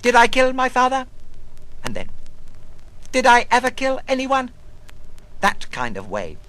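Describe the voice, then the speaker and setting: An actor playing an old, half-mad, bitter but harmless wanderer in a dramatic monologue, rambling in broken phrases with sudden outbursts. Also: male voice, extremely high pitch, wildly swooping pitch, unhurried, bright, forceful tone, heavily acted, low-quality recording. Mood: agitated. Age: older. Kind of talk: dramatic literary monologue reading.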